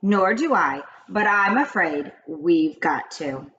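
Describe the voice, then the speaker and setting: A woman voicing an old grandmother in a children's storybook, confiding grave witch lore in a deliberate, dramatic way. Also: lowish voice, lively intonation, a bit bright, dull audio, a little hiss or hum. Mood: matter-of-fact. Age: older. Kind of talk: children's storybook read-aloud.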